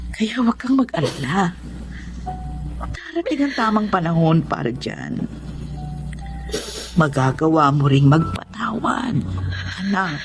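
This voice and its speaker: A woman playing a gentle, forgiving older mother who consoles her child in a radio drama, with a soothing manner. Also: low voice, full character voice.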